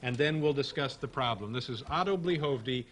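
A man's voice: mid-range voice, moderate pace, a bit bright. A man in his thirties hosting a late-night comedy show, joking in a dry, mock-serious way at his desk in a TV studio. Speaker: talk show host. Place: TV studio stage before an audience. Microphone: desk microphone.

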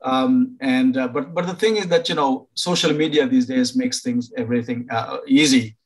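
An older man from Nepal, a professor advising international students over a video call, pausing often to find words. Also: medium pitch. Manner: halting, many fillers and restarts. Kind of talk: informal online presentation.

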